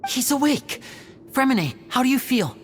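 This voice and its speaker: A woman's voice: high voice, sing-song, normal speed, soft background music. A woman playing a composed, protective young man in a video game, earnest and reassuring. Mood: concerned. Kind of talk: English video game voice acting.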